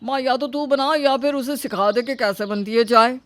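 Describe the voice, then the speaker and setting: A woman's voice: high voice, normal speed, fairly bright tone, dry recording. A woman voicing a whiny, hapless grown man, a son and husband in a story, pleading and easily flustered. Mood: complaining.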